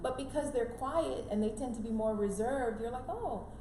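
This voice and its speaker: A woman's voice: a little high, normal speed, warm tone. Emphatic woman in a roomy, echoing space. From Canada, born in Jamaica. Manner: emphatic, repeats key phrases, acts out quoted remarks. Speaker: interview guest. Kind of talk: earnest conversational advice in an interview. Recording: roomy echo, clear background noise.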